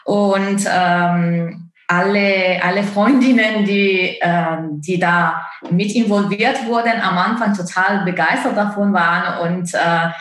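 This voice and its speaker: Woman with an Italian accent, speaks slowly and hesitantly, recorded in a roomy space; interview guest. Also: on the low side, fairly bright tone. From Italy. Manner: hesitant, many fillers. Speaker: guest, dance course founder. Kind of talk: interview answers.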